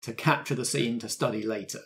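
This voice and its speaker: A man narrates a tabletop roleplaying game as its game master, with a middle-pitched voice. He talks in a conversational way, thinking aloud as he goes. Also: fairly even intonation, brisk, fairly bright tone.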